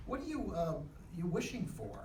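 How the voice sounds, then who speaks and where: Animated, higher-pitched man in an echoey room. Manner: hesitant, halting, questioning. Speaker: Sunday school teacher, straight man to a puppet. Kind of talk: live comic dialogue with a puppet. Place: echoey classroom, in front of a class. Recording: echoey room, distant mic, faint noise.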